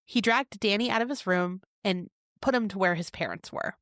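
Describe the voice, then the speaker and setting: A woman co-hosting a podcast, telling a crime case in a chatty way with quick asides. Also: mid-range voice, a bit bright, dry recording, clean studio sound. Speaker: podcast co-host. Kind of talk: casual true crime chat.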